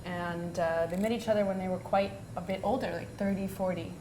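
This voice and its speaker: A woman's voice: steady tone, a little fast. An American woman telling personal stories informally to a small group in her home, in a conversational way peppered with casual filler phrases. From United States. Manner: conversational, with frequent "you know" and "like". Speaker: guest storyteller and host of the evening at home. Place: open-plan room at home. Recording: echoey room with clear rumbling noise.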